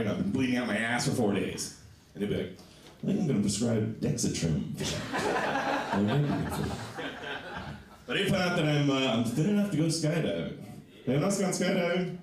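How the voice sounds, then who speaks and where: A man with a low voice doing a stand-up comedy set in a small comedy club, telling stories with lively, animated delivery. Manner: animated storytelling, voices for others. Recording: echoey club sound from a distant mic.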